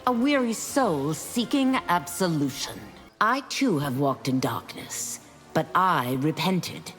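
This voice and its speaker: An actress playing a solemn, weary, repentant warrior with a righteous, grave manner in a fighting game. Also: female voice, low-pitched, big pitch swings, slow, fairly bright tone, in a large hall, soft background music, full character voice. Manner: sanctimonious, grave. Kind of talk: fighting game character dialogue.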